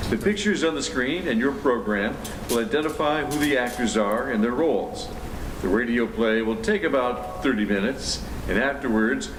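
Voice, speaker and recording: A man with a medium-pitched voice reads a scripted welcome as the event announcer. The room is echoey, with clear background noise. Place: indoor community theater space. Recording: echoey, noisy room recording.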